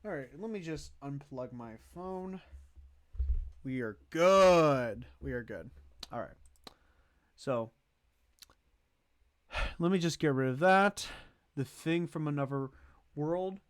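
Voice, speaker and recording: A man giving casual livestream commentary as host, thinking aloud in a halting way as he ranks things. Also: on the high side, animated, no room sound. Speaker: streamer hosting a movie tier list.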